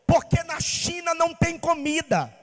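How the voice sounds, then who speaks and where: A pastor from Bahia preaching with passion, asking rhetorical questions in a sing-song cadence. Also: male voice, very high voice, lively intonation, moderate pace, a bit bright, long reverb tail. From Bahia, Brazil. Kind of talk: sermon.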